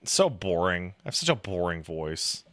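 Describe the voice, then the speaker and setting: A man's voice: low voice, big pitch swings, normal speed, fairly bright tone, no room sound. A man giving casual, sarcastic and self-deprecating commentary. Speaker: video essayist, host.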